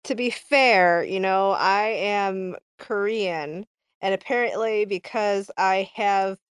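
A woman chatting casually in a group call, picking her words haltingly. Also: mid-range voice, smooth, no room sound. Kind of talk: casual chat.

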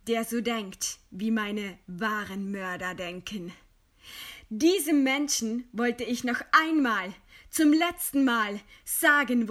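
An actress playing a proud, composed dissident writer in a German radio play, speaking with measured, scornful eloquence. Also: female voice, fairly high pitch, fairly bright tone. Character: condemned dissident woman writer, widowed, proud, defiant, composed.

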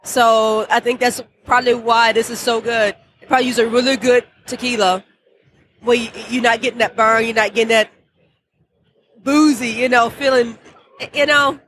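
Bright gushing woman. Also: fairly high pitch, fairly even intonation, normal speed, slight background noise. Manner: gushing, laughs often. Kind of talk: casual tasting chat.

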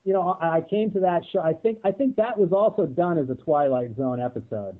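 A man speaking as a guest in a casual interview, reflecting haltingly as he gathers his thoughts. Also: fairly high pitch, lively intonation. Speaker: guest, audio drama producer. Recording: narrow-band phone-like line.

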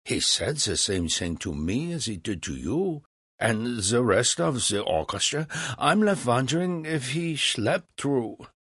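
A man voicing a blunt, headstrong young composer who speaks calmly and directly. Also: mid-range voice, unhurried, slightly rough, dry recording, clean studio sound, full character voice. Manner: calm, direct.

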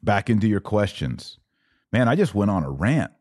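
Male podcast host, low voice, dry close sound, emphatic and preachy religious commentary. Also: very animated, moderate pace, darkish tone, no room sound. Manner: emphatic, preachy, with rhetorical questions. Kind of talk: live religious commentary rant, reading audience comments.

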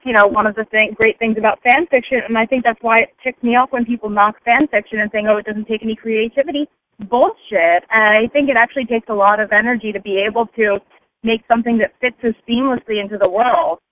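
A woman's voice: fairly high pitch, fairly even intonation, fast. Tinny phone voicemail from a rambling woman. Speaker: listener leaving voicemail feedback. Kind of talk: casual rambling chat.

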